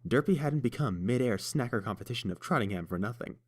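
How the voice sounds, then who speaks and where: A man reading fan fiction aloud in a measured storytelling manner. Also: mid-range voice, lively intonation. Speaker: audiobook reader. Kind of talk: fan audiobook narration.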